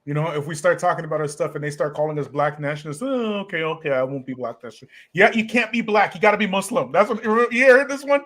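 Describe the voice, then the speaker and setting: A man hosting a casual discussion, animated and quick, with laughing asides. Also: a little high. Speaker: host. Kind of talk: casual chat.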